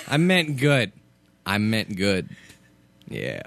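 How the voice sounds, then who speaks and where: Gravelly, worn-out man joking loudly. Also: on the low side, huge pitch swings, very slow, dry recording. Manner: loud, jokey, exaggerated complaining. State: tired with a sore throat. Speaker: podcast co-host. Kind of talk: casual podcast banter.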